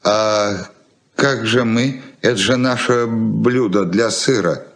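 An actor playing a plaintive, touchy, slightly doddering old uncle in a Soviet radio play, hesitant and repeating himself. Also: male voice, fairly low pitch, fairly even intonation, slow pace, soft background music. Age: older.

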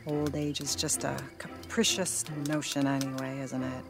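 A woman's voice: extremely low pitch, flat delivery, normal speed, music in the background. A woman playing an articulate, whimsical, self-assured American woman with precise, playful diction in an audio drama. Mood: playfully insistent.

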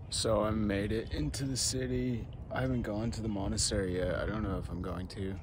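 A man's voice: lowish voice, steady tone, brisk, background noise. A young man in his twenties talking casually to a phone camera held at arm's length, rambling with ums and self-corrections as he goes about his day. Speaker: vlogger. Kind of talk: casual daily vlog to camera. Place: house living room, then park outdoors, then inside a car.

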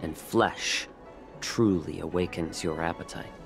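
A man voicing a composed, sly fox-man in a video game, politely needling and gently mocking. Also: low-pitched, unhurried, creaky, faint music behind. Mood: amused, teasing. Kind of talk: measured game character acting.

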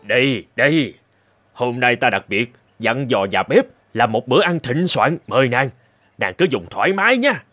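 A man's voice: medium pitch, sing-song, normal speed, fairly bright tone, faint music behind. A man playing a stingy, suspicious, hot-tempered rich lord in a cartoon folktale, with pompous, exclamatory delivery. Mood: pompous, pleased. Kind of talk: animated folktale acting.

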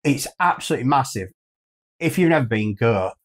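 A British man in his fifties co-hosts a casual chat show. His voice sits at an ordinary pitch. He rambles along in an enthusiastic, conversational way. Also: animated, brisk. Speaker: co-host. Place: small furnished room, indoors.

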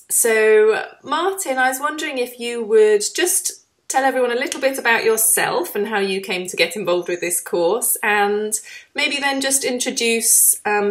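A woman hosting an online course interview, chatty with frequent "um"s as she introduces and questions her guest. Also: medium pitch. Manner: friendly, chatty, many "um"s.

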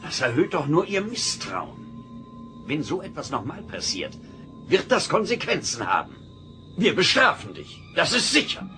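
An actor playing an evasive, formally courteous but stern station administrator in a German audio drama, with emphatic delivery. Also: male voice, on the high side, huge pitch swings, moderate pace, over music. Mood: angry, threatening.

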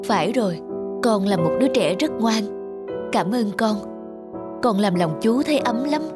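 Kindly cartoon relief helper, male, played by a woman, gentle and reassuring, music underneath. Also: a little high, lively intonation, moderate pace, natural voice. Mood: moved, warm. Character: kindly, generous man helping disaster survivors.

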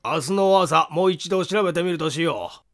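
A man acting as gravelly, baffled police inspector. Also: fairly high pitch, normal speed, fairly bright tone. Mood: grumbling. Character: earnest, baffled male police inspector at a crime scene. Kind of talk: dramatic audiobook character acting.

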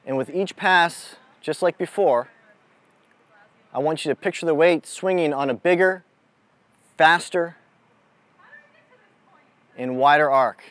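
A man speaking as a mental toughness coach, giving a deliberate, instructive motivational lesson to a group out in the open. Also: a little high, a bit bright, no echo. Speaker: mental toughness coach leading a youth clinic. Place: outdoors on an amphitheater lawn.

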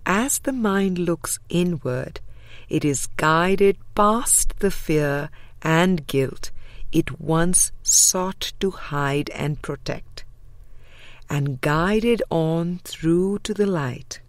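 A woman reading a spiritual audiobook with measured, deliberate phrasing. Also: on the low side, no room sound. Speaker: audiobook reader.

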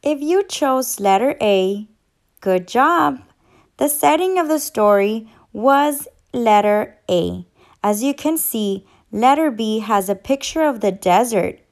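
Slow, high-voiced woman teaching young children. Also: lively intonation. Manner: instructional, encouraging, addressing young children. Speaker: teacher and children's story reader. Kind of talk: children's read-aloud and quiz.

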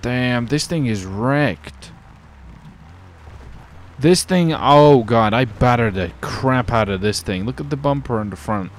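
A man's voice: medium pitch, expressive, slow, a little hiss or hum. A man chatting and commentating in character in a game roleplay, casual and exclamatory, with some swearing. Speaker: gamer, roleplay video host. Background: game ambience and vehicle sounds.

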